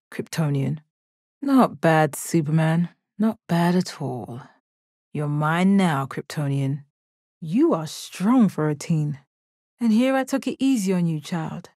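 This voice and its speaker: A woman playing a stoic, confident, commanding gem warrior in a video game, with a measured, deadpan delivery. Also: on the low side, no echo, clean studio sound. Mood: grudging respect, then stern. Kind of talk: video game character battle lines.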